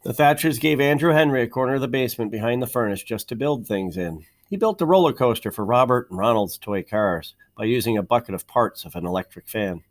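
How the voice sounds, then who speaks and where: A man reading a children's picture book aloud in an expressive, measured storytelling manner, stressing key words. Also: medium pitch. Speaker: storybook reader.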